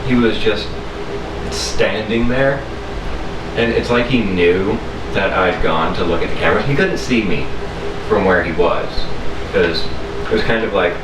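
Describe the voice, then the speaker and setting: A man telling a personal story casually, in a halting way with frequent pauses. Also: lowish voice. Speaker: storyteller, video host. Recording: roomy echo, distant mic, background hum.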